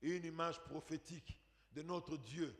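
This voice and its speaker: A male pastor preaches in a reverberant church hall, his fairly high voice echoing long around the space. His delivery is emphatic and dramatic, with swells, drops and rhetorical questions. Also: measured pace. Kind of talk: sermon.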